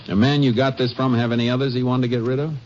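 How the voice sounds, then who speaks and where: An actor playing a steady, hard-pressing male Texas Ranger who narrates and questions a suspect in a 1950s radio drama. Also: medium pitch, quick pace, a little hiss or hum, natural voice. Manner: clipped, firm, interrogating. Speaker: lead detective and narrator. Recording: muffled old radio broadcast.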